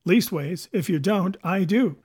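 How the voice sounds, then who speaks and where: A man's voice: high-pitched, expressive, measured pace, a little mellow, husky, pitched above the performer's own voice, heavily acted. A man voicing a cunning, defiant pirate captain in a storybook, with sarcastic questions and rustic sailor's talk. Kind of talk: dramatic audiobook character voice.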